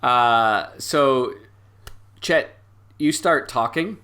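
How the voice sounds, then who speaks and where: A man narrating an improvised tabletop game as game master, halting and backtracking mid-sentence. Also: medium pitch, pushed and bright, clear, resonant tone.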